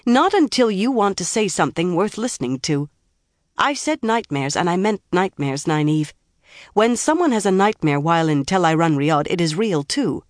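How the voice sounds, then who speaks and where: A woman's voice: fairly low pitch, very animated, moderate pace, dry recording, clean studio sound. A woman reading an audiobook, voicing a stern young woman who scolds her friend sharply and firmly like an elder. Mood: firm, reproving. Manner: sharp, firm, reproving.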